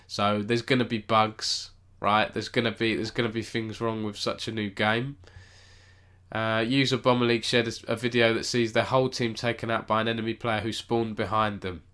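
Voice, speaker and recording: A man's voice: fairly low pitch, little pitch movement, moderate pace, a bit bright. A man with a cold, sounding nasal as he hosts a rambling, self-correcting live-stream esports news roundup and chats with viewers.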